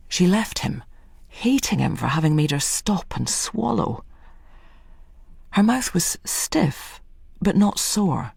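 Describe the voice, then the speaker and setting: A woman's voice: deep voice, vocal fry, a little mellow, slightly rough, no echo, clean studio sound. A woman narrating a literary story in a scripted, dramatic style.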